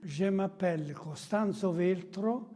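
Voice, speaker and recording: A man speaks in a high, mellow voice. His pace is slow and measured as he lists dates and places. The room echoes long behind him. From Italy. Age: 80s. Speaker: interviewee. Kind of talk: personal life story. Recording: reverberant room.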